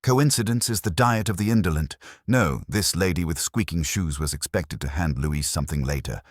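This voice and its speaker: A man's voice: low voice, moderate pace, dry recording, clean studio sound. A man voicing an imperious, pedantic master detective with pompous, formal and precise speech in an audiobook. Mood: lofty certainty.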